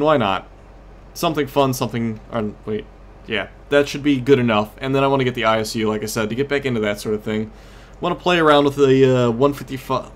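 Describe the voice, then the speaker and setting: Man, casual gaming host, mid-pitched, rambling and easily sidetracked, faint game noise in the background. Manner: rambling, loses his train of thought. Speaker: host of a gaming video series. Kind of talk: casual gameplay commentary.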